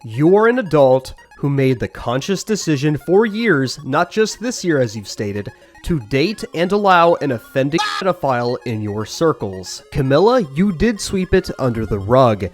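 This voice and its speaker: A man's voice: on the high side, very animated, moderate pace, soft background music. A man delivering scripted commentary as a guest, in an accusatory, emphatic manner.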